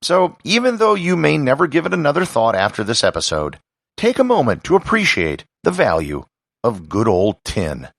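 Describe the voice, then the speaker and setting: An American man from Wisconsin hosting a podcast, delivering scripted educational narration in a husky, gravelly voice with measured, emphatic phrasing. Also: medium pitch, huge pitch swings, fairly bright tone, no echo, clean studio sound. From Wisconsin, United States.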